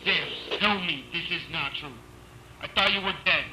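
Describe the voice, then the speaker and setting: A man's voice: on the high side, moderate pace, sharp tone. A man acting a cautious, by-the-book park worker in a found-footage horror video, wary and reluctant. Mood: alarmed, reluctant. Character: cautious, by-the-book male park radio colleague. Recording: very noisy found-footage audio.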